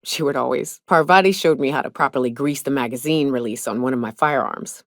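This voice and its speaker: A gruff, guarded monster hunter in her thirties for a video game. Her voice sits low for a woman, and she talks in a blunt, folksy drawl full of rough slang. The recording is very dry, like a studio booth. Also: fairly quick, no room sound. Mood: somber, reflective. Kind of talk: video game character dialogue.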